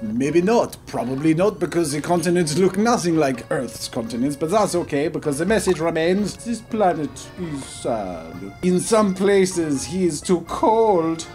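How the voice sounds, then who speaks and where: A man's voice: on the high side, darkish tone. A man voicing a pompous young French painter, with a thick put-on French accent and a theatrical, grandiose manner, commenting on a video game. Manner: theatrical, grandiose, self-mocking. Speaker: gaming video creator. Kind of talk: gaming commentary in character.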